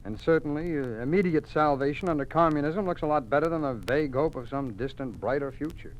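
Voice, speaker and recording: An actor playing a resigned, pragmatic attorney with an American accent, explaining emphatically in 1950s radio drama style. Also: male voice, on the high side, a little fast, dull audio, lightly acted. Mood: grave. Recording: faintly noisy 1950s radio recording.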